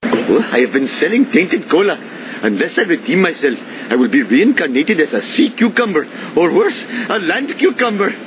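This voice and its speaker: Lively Indian-accented cartoon shopkeeper. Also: male voice, fairly high pitch, moderate pace, noisy background. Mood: anxious, dutiful. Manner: formal, wordy, earnest. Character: earnest, anxious Indian cartoon shopkeeper, formally polite.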